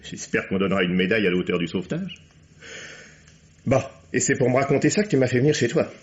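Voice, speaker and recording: An actor playing a cold, sarcastic lover, cutting and theatrical, in a French vintage radio drama. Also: male voice, medium pitch, expressive, quick pace, close to an everyday voice. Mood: impatient sarcasm. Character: cold, mocking, cruel male lover eager to leave. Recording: dull vintage radio recording.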